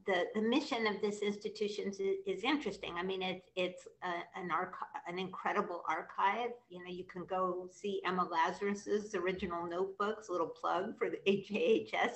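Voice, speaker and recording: Low-voiced woman asking rambling questions. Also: a bit bright, rough recording. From American Midwest. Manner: hesitant, many restarts and ums, asks long questions. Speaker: interviewer. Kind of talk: conversational video-call interview. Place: room with brick wall behind. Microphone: video call.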